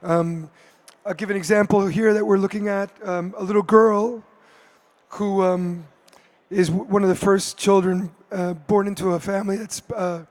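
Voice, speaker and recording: A man speaking on a conference panel, his voice hoarse from a cold and pitched well above most men. He talks hesitantly and often restarts his phrases. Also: a little mellow. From United States. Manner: hesitant, many fillers and restarts. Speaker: artist on a panel.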